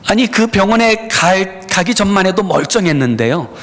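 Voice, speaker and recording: A man's voice: high-pitched, expressive, moderate pace, a bit bright. A middle-aged man preaching a sermon as a pastor, talking in a personal, earnest way to his congregation, with many "uh" fillers. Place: church sanctuary.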